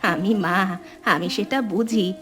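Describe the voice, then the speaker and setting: Woman voicing a measured elderly village grandmother, music behind. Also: medium pitch, fairly bright tone. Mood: consoling. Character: elderly village mother and grandmother, patient, wise peacemaker. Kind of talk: animated family drama dialogue.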